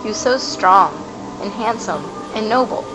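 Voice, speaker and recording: A woman's voice: mid-range voice, animated, normal speed, poor audio. A woman reading scripted narration for a fantasy radio play. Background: music.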